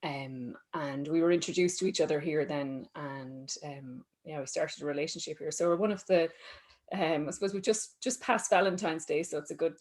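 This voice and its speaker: A woman's voice: low-pitched, moderate pace, a little mellow. A woman from East Galway with an Irish accent, a cheerful guest who laughs easily. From East Galway, Ireland. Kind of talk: casual interview answers.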